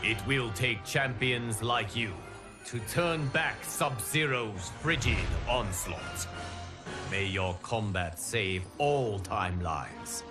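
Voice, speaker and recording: Slow, solemn guardian of timelines. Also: male voice, lowish voice, lively intonation. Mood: grave, urgent. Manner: solemn, formal, measured with deliberate pauses. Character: solemn male guardian of timelines addressing his champions. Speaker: narrator. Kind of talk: scripted dramatic game narration. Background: music.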